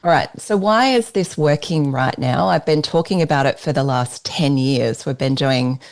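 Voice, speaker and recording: An Australian woman leading a business workshop, giving an emphatic, persuasive pitch while presenting slides. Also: moderate pace.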